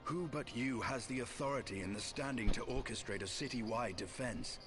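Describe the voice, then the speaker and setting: An actor playing a stern, proud dragoon knight in a fantasy video game, speaking gravely in formal, archaic English. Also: male voice, medium pitch, moderate pace, music in the background, lightly acted. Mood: stern, forceful.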